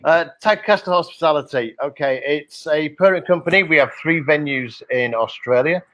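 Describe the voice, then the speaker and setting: A man's voice: medium pitch, no room sound, poor audio. A middle-aged man from Liverpool with a Liverpool accent, guest on a casual interview podcast, chatty and jokey, talking with plenty of "uh" and "um". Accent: Liverpool English. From England (Liverpool). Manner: chatty, jokey storyteller with frequent "uh" and "um". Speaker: guest, hospitality company director. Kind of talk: casual interview over video call.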